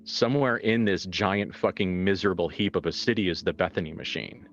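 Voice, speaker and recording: A deep-voiced man runs a tabletop horror game as its game master. He improvises in a conversational way, stopping to repeat and rework phrases. The sound is a little dull, with music playing behind him. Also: fairly quick. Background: background music.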